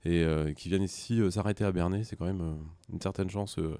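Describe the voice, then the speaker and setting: A man giving conversational interview answers as a music school director, explaining things in a hesitant, halting way. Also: low voice, fairly even intonation, dark tone, clear, resonant tone, no room sound.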